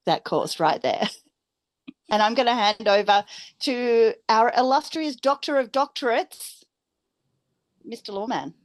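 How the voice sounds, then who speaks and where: A woman's voice: fairly high pitch, a little slow, long pauses, dry recording. A woman chatting casually and wryly as a player on a tabletop game stream. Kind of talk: casual chat.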